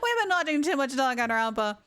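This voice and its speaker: Bright, excitable woman live streaming a game. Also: sits high, a little fast. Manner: excitable, chatty, jokey. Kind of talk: casual, playful game commentary. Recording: game music behind.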